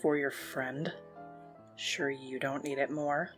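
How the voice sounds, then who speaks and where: A woman playing a low, flat, gloomy occultist. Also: slow pace, soft background music. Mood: dry, reserved. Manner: deadpan, terse. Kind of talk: audio roleplay acting.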